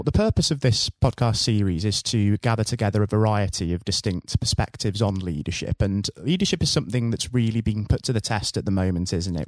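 A man's voice: fairly low pitch, no room sound. A man hosting a podcast, who gives a scripted introduction in a polished, formal presenter manner and then asks interview questions. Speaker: podcast host.

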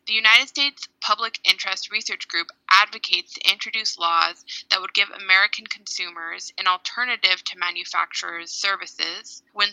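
A young woman from Hawaii co-hosting a podcast, clearly explaining things step by step. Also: mid-range voice, pushed and bright, dry recording, poor audio. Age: young adult. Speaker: podcast co-host.

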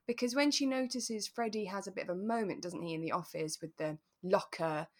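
A woman's voice: medium pitch, a little fast. A British woman co-hosting a podcast, chatting casually and thoughtfully, explaining her points in an agreeable way. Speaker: podcast co-host. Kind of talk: casual chat discussing a radio drama.

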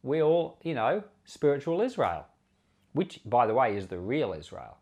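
A man's voice: medium pitch, very animated, moderate pace, darkish tone. A man giving an informal sermon commentary on scripture as a pastor, earnest and conversational, leaning hard on key words. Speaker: pastor and Bible teacher.

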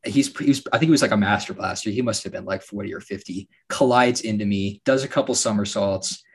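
A young man from Alaska hosting a podcast, telling stories in a relaxed way with lots of fillers and a slightly raspy voice. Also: fairly low pitch, fairly quick. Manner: relaxed storytelling with frequent fillers and laughs. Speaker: podcast host. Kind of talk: casual podcast chat.

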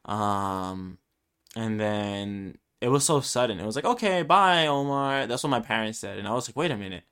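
A man's voice: on the low side, lively intonation, moderate pace, fairly bright tone, clear, resonant tone. Rambling young man talking to himself. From Brooklyn, New York. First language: Spanish. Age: 20s. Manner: rambling, self-deprecating, lots of ums and restarts. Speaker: podcast host. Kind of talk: solo casual podcast monologue.

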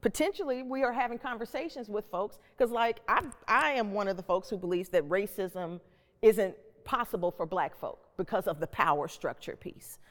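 A middle-aged woman with a medium-pitched voice leads a reflective discussion. She is deliberate and emphatic, repeating phrases for weight. Her voice carries long hall reverb. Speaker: host and panel moderator.

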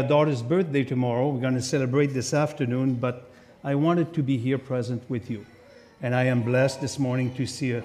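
A man from Quebec with a French Canadian accent, preaching a sermon as a guest speaker in a church, with lively asides to the congregation. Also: mid-range voice. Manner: animated, chatty asides to the congregation. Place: church sanctuary. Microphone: lectern microphone.

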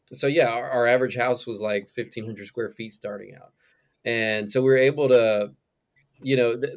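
Brief: young male guest, lively and chatty, mid-range voice, relaxed podcast conversation. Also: mid-range voice. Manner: animated, laughing, acts out quoted reactions. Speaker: guest, former singer turned realtor. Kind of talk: casual interview conversation.

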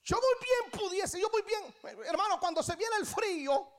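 A woman preacher in her thirties with a Guatemalan accent and an extremely high pitch. She delivers a sermon with emphasis, repeating her key phrases and posing rhetorical questions to the congregation. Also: expressive, normal speed. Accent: Guatemalan Spanish. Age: 30s. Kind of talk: church sermon.

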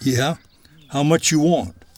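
A man's voice: a little high, takes it slowly, a little mellow. An actor playing a fatherly, firm paymaster in an 1890s logging camp drama, with a folksy, commanding manner. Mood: wary, businesslike. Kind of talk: voice acting in a storyboard film.

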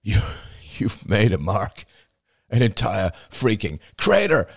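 An angry young man , acted by a male narrator in an audiobook confrontation. He is sarcastic and accusatory, with a creaky edge and big swings in pitch, speaking in deliberate, biting phrases. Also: wildly swooping pitch, no echo, studio quality, heavily acted.

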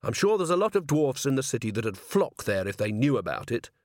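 A man's voice: medium pitch, big pitch swings, fairly quick, darkish tone, no room sound, close to an everyday voice. Guy doing a chipper wholesome young guard for an audiobook, super polite n sincere, bouncy intonation, dry close sound. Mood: earnest. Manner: polite, guileless, enthusiastic. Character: cheerful, earnest, innocent young male watchman.

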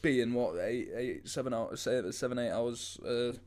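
A young man chats as a podcast co-host. His pitch sits in a middling range. He tells things informally, with plenty of slang and some swearing. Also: moderate pace, darkish tone. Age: 20s. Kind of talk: casual chat and storytelling.

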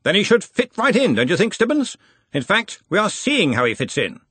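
Guy putting on a blustery old wizard boss, pompous, huge swings in pitch, husky. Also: huge pitch swings, moderate pace, pushed and bright, no echo, heavily acted. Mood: probing. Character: blustering elderly male wizard head of a university.

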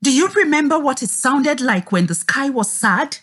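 A woman teaching a read-aloud lesson to children in an expressive, encouraging, deliberate way. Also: sits high.

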